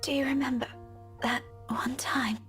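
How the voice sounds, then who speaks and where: Game role: frightened but tender young woman, high voice, faint music behind. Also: fairly even intonation, a little slow, a bit bright. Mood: tender, resigned. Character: frightened young woman facing death, tender toward childhood friend. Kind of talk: visual novel game voice acting.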